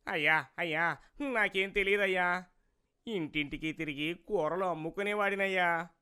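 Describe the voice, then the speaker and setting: A man's voice: sits high, moderate pace, pushed and bright, clean tone. A man voicing a greedy, wheedling village vegetable seller in a cartoon moral story, with a plaintive manner. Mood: panicked, pleading. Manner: rustic, colloquial, plaintive way of talking.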